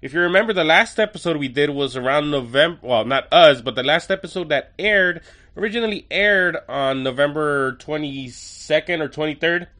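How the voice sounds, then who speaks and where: A male podcast host running through an episode in a chatty, enthusiastic way with wry asides. His voice is a bit higher than most men's and bright and forceful. He sounds a little stuffy and nasal from a cold. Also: expressive, moderate pace. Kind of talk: casual episode review.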